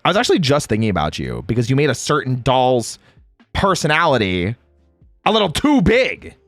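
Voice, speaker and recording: A man hosts a casual livestream with playful, teasing banter and exclamatory reactions. His mid-pitched voice swoops widely up and down. The sound is dry, with faint music underneath. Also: wildly swooping pitch, a little fast, fairly bright tone, dry recording. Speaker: streamer and host; game voice actor. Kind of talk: casual livestream chat, greeting viewers.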